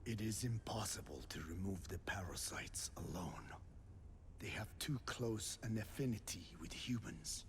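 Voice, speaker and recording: An actor playing a weary, tormented but defiant old captive elder in a video game, solemn, breathy and halting. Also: male voice, on the low side, lively intonation, slight background noise. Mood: anguished. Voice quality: breathy, whispery. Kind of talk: dramatic video game voice acting.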